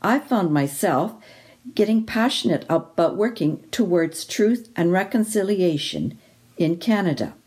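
A woman reading a written reflection aloud during a church service at a steady, even pace. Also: on the low side, unhurried, slightly rough. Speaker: worship reader.

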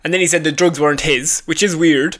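A man's voice: on the high side, steady tone, a little fast, fairly bright tone. An Irish man co-hosting a comedy podcast, swearing and teasing as he banters and reads out odd news. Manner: sweary, prone to laughing, teasing. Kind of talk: casual comic banter and reading out odd news.

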